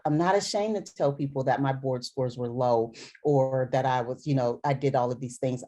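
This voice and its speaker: A middle-aged woman with a low, mellow voice speaks in an earnest conversational way. She drives her points home with repetition and emphasis, like a physician answering questions after a talk. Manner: earnest, emphatic, repeats for stress. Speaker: dermatologist speaker.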